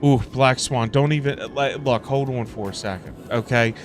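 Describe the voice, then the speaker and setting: A man livestreaming a game with casual commentary, excitable and slangy, often repeating himself. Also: medium pitch, moderate pace. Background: game music.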